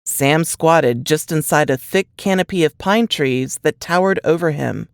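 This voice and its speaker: A woman's voice: deep voice, unhurried, smooth, no echo, studio quality. A woman reading scripted fiction as an audiobook narrator.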